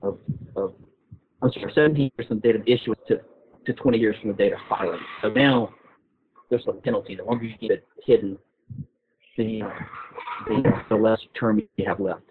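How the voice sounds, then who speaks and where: A man's voice: on the low side, fairly quick, pauses often, a little mellow. A man explaining as a guest expert in an informal discussion, often repeating and rephrasing his phrases. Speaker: guest expert on patent law. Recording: roomy, phone-like call audio.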